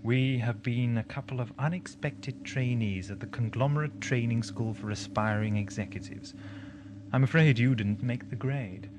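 Male actor for eccentric time-traveller, audio drama. Glib, theatrical, mid-pitched; noisy amateur tape sound. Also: moderate pace, lightly acted. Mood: wry, breezy.

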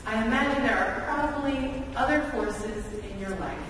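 A woman in her thirties preaching a sermon from a church pulpit in measured, deliberate phrases with rhetorical repetition. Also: on the high side, a bit bright. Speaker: pastor. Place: large church sanctuary, at the pulpit. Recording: echoey church hall, distant mic, background noise.

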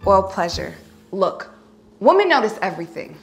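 A woman's voice: medium pitch, big pitch swings, normal speed, lightly acted. A woman playing a bossy, confident young girlfriend with a sassy, commanding manner.

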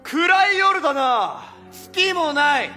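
A man's voice: very high-pitched, expressive, slow, pushed and bright, full character voice. An actor playing a dramatic, jumpy young man, declaiming theatrically in a comic Shakespeare stage play. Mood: grave, watchful. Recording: stage recording with music.

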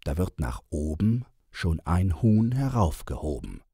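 A man reading a rhymed children's story aloud as an audiobook, in a deliberate, theatrical manner. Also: sits low, sing-song, warm tone, breathy edge, no room sound, studio quality. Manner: deliberate, theatrical, measured verse delivery. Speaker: audiobook reader.

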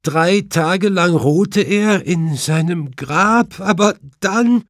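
A man's voice: high-pitched, slow, no room sound, studio quality, voice pushed up. A man voicing a distraught, weeping widow in an audiobook, shaken and tearful.

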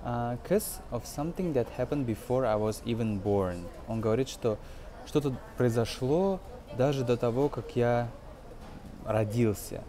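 A man's voice: mid-range voice, measured pace, warm tone, slight background noise. A man in his twenties giving an informal English lesson, explaining hesitantly phrase by phrase. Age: 20s. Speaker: English teacher. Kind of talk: informal film-based language lesson.

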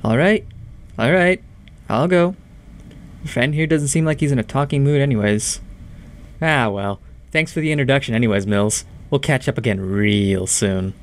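A man's voice: medium pitch, normal speed, close to an everyday voice. An actor playing a cocky young male troublemaker who needles his friend in a mocking drawl for a horror audio drama.

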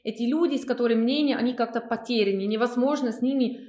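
A young woman with a fairly high voice asks her questions slowly, searching for words in a language that is not her first. She speaks over a video call, and the room around her sounds echoey. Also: mellow. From Switzerland. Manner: hesitant, searching for words, many fillers. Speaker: interviewer.

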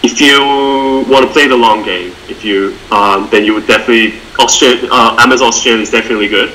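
A young man in his twenties, speaking English as a second language, answering interview questions over a webcam from home in an earnest, halting way with frequent restarts. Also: medium pitch, steady tone, sharp tone, slight background noise, rough recording. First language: not English. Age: 20s. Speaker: interview guest, online seller. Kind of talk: casual video-call interview. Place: small room at home. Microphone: video call webcam.

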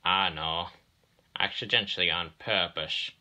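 A man voicing a stern, deliberate railway director in a storybook, patient but grim and used to command. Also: sits low, fairly even intonation, bright, forceful tone, heavily acted. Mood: stern, suspicious.

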